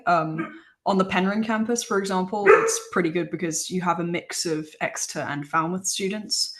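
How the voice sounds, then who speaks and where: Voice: medium pitch, fairly bright tone. A young student ambassador answering casually on a webinar panel, with many fillers. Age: young adult.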